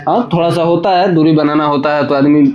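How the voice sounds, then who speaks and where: A man with a Bhojpuri accent speaks in a fairly high voice as the guest in a casual gossip interview. He is emphatic and tends to repeat his phrases. The sound is dull and lo-fi. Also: fairly even intonation, fairly quick, a crowd in the background.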